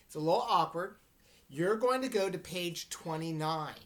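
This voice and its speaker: A woman with a low voice teaches an informal reading lesson. She speaks slowly and explains as she goes, checking that her students are following along. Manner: unhurried, explanatory, checks understanding. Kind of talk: informal read-aloud lesson.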